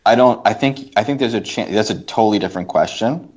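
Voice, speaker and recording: Male podcast guest, low-pitched, emphatic and halting, opinionated conversational delivery, slightly muffled lo-fi recording. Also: darkish tone. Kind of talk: casual opinionated discussion. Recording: dull lo-fi podcast audio.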